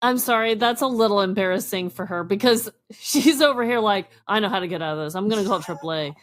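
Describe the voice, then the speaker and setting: A woman co-hosting a podcast with casual comic banter, acting out imagined dialogue in an animated way. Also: medium pitch, quick pace. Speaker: podcast co-host.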